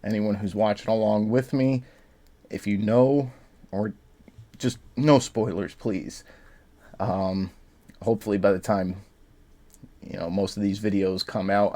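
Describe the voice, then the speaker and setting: Stuffed-up man with a low voice. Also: steady tone, measured pace, long pauses, warm tone. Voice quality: slightly raspy. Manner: easygoing, rambling with frequent fillers. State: stuffed up and sniffly from allergies. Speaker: reaction video host. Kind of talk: casual chat to camera.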